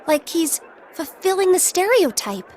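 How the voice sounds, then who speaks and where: A thoughtful young female student in a fantasy game. Her voice is high-pitched, with polite, earnest phrasing. There is faint ambient noise behind her. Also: sing-song, measured pace, darkish tone, full character voice. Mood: pensive. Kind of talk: English-language game voice acting.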